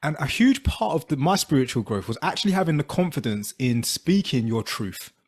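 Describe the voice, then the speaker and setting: A man's voice: medium pitch, very animated, fairly quick, slightly rough. A man co-hosting a podcast, talking in a rambling, chatty way full of fillers. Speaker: podcast co-host. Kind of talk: casual interview chat.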